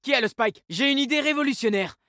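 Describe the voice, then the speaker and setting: A man's voice: very high-pitched, fast talker, bright, forceful tone, dry recording, studio quality. A man voicing a laid-back young male agent in a video game, casual, slangy and upbeat, speaking in. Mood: brisk, alert.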